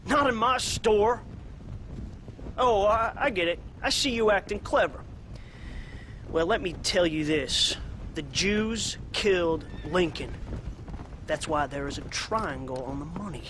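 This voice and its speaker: An actor playing a paranoid, bigoted old shopkeeper in a western game, drawling slowly and sneering. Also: male voice, high voice, a bit bright, a little hiss or hum. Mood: smug. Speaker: general store owner.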